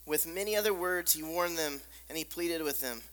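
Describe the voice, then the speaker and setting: A man with a squeaky voice preaching a sermon, hammering points through repetition and dropping to soft, hushed lines. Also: normal speed. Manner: emphatic repetition, drops to soft near-whispers. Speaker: pastor. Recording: long reverb of a large hall.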